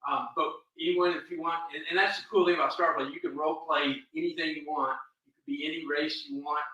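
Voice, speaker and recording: Guy chatting casual, bright voice, super echoey, kinda far from the mic. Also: on the high side, steady tone, fast talker, dull audio. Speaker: fan club chapter officer, show guest. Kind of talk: casual chat.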